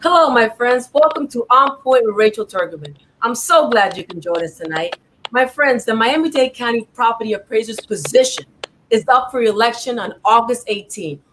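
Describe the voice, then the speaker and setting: A woman's voice: on the high side, animated, moderate pace, a bit bright, slight background noise, rough recording. A woman from New York hosting a talk show, interviewing a guest in an upbeat, friendly, chatty way.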